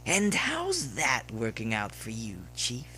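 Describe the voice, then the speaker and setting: A man's voice: medium pitch, huge pitch swings, slow, sharp tone, a little hiss or hum, full character voice. A man voicing a friendly, upbeat young male student pony in a story reading, casual and inquisitive. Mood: casual, prodding. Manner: casual, inquisitive, slightly hesitant.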